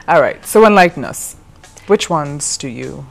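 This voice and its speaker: A woman hosting a chat show, her voice very low for a woman. She fires off quick, eager questions, agreeing readily and laughing often. Faint music plays underneath. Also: creaky. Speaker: interview host. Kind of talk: casual interview chat.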